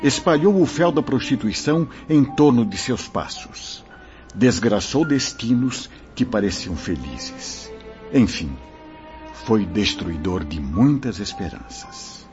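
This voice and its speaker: A solemn spiritual mentor, expressive intonation. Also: male voice, fairly low pitch, takes it slowly. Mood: grave explaining. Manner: solemn, didactic, formal. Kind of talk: radio drama acting. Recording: dull lo-fi audio, music behind. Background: music.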